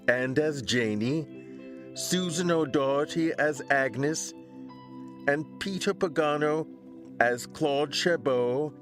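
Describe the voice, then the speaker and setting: A male announcer reads closing credits formally over prominent music. His pitch is a little high for a man and his delivery is slow and measured. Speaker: announcer and sponsor spokesman.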